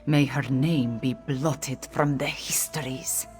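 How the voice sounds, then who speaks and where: An actress voicing a young catfolk queen in a video game, speaking in a measured, earnest and formal way, regal and grateful. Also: rumbling low, steady tone, darkish tone, soft background music. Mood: warm, relaxed. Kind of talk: scripted video game voice acting.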